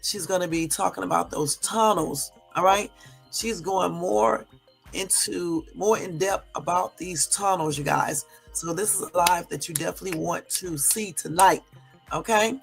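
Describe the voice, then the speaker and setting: A woman hosting a casual livestream, hoarse from a cold, chatty and often checking in with her listeners. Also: fairly low pitch, measured pace, soft background music. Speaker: livestream host.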